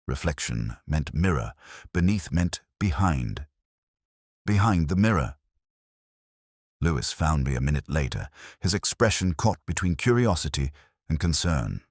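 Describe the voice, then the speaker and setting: A man narrating a detective story in the first person, in a measured, literary and brooding manner. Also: a bass voice, no echo, clean studio sound.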